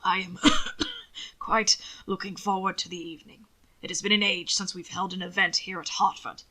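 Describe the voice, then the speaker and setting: A woman's voice: fairly low pitch, steady tone, normal speed, bright, forceful tone. A woman voicing a frail, affectionate old aristocratic grandfather in an audiobook, genial and formally old-fashioned. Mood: fond, amused. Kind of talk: audiobook character voice. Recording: occasional static crackle from microphone.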